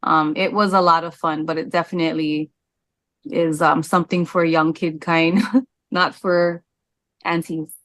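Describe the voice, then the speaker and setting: A woman, answering interview questions candidly, with frequent "um" pauses. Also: deep voice, little pitch movement, a bit bright, no room sound. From Hawaii. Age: 40s. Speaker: interview guest.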